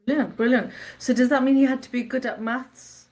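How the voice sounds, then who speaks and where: A woman's voice: high voice, steady tone, brisk, darkish tone. A woman hosting an interview webinar, chatty and upbeat as she prompts her guest with questions. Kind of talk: casual interview.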